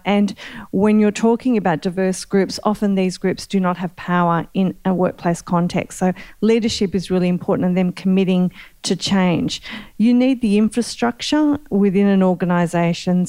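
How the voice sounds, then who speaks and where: A woman speaking as a panel guest and board member, in a measured, emphatic way. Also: medium pitch, darkish tone, clean tone, dry recording. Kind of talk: panel discussion.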